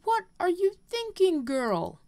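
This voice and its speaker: A woman voicing a solemn, stern male guild master from game quest dialogue, with measured, formal, admonishing delivery. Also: very high-pitched, huge pitch swings, a little mellow, no room sound, pitched above the performer's own voice, heavily acted. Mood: angry, alarmed.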